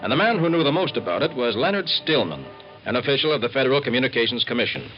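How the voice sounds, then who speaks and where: An actor playing an earnest investigator, narrating and interviewing deliberately and dramatically in a scripted 1950s radio documentary. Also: male voice, mid-range voice, sing-song, close to an everyday voice. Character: earnest male investigator narrating a civil defense report. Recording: dull old radio recording, music behind.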